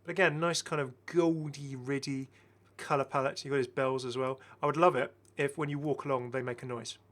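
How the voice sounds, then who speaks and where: Bright-voiced man, chatty gaming host. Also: mid-range voice, fairly even intonation, a little fast. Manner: chatty, appreciative, rambling. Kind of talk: casual enthusiastic game commentary.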